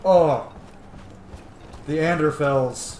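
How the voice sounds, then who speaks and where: A man's voice: a little high, very slow, a little mellow. A man giving casual, rambling let's-play commentary, gushing and swearing freely as he goes. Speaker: gaming streamer playing and commenting. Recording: roomy echo with faint background noise.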